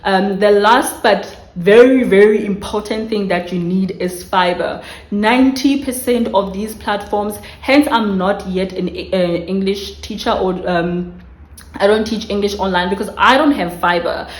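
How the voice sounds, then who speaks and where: A woman with a South African accent talks casually to her viewers at a medium pitch, circling back and restarting phrases as she goes. She speaks straight to her audience from home. The room rings with noticeable echo. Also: a little slow. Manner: chatty, restarts phrases, addresses viewers directly. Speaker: vlogger giving career tips. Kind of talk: casual explanatory talk to viewers.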